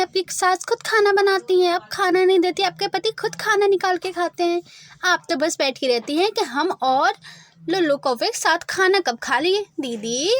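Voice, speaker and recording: A woman voicing a young married female crow in a children's cartoon, polite and composed but pointed and firm. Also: very high-pitched.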